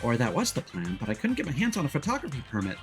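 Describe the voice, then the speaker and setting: Man voicing an eager, earnest teenage game character, animated. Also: medium pitch, rapid-fire, soft background music. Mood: indignant. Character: eager, earnest high-school photographer from a video game. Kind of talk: reading game dialogue aloud in character.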